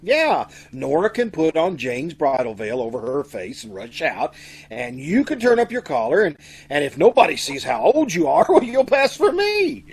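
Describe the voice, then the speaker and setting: A man's voice: fairly high pitch, huge pitch swings, moderate pace, slightly rough, full character voice. An American man playing a cowardly, doting young bridegroom in an old-time radio comedy, bumbling and hesitant. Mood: coaxing, scheming.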